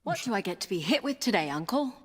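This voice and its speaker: A woman's voice: medium pitch, sing-song, fast talker, crowd noise, natural voice. Woman as a plucky princess, reverberant sound. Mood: wry, playful. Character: plucky, wry blind young princess. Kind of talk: naturalistic audio game acting. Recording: reverberant, faint cricket ambience.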